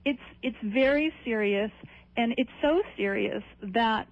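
An earnest woman speaking as a radio guest, her voice sitting a little high. She works through long lists of points. The audio is dull and dry, with no room sound. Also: a little slow, no room sound. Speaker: journalist and campaign director, radio guest. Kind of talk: radio interview.

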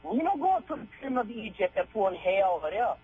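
A man's voice: high voice, big pitch swings, fast talker. A man from Hawaii, a native Hawaiian speaker, calling in to a radio show and asking emphatic, repetitive questions. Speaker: caller. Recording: tinny phone line with faint hum.

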